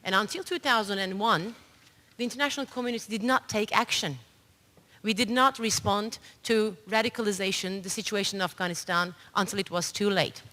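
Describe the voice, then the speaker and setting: A woman's voice: medium pitch, fairly even intonation, brisk, sharp tone. A middle-aged woman giving earnest, unscripted remarks as a panelist in a conference room. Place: large conference hall. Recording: long hall reverb.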